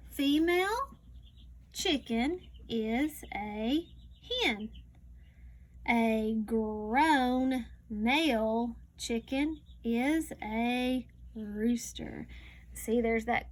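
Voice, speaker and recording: A woman teacher reading a picture book aloud to young students, slowly and deliberately, stretching words and adding teaching asides. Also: fairly high pitch, a little hiss or hum.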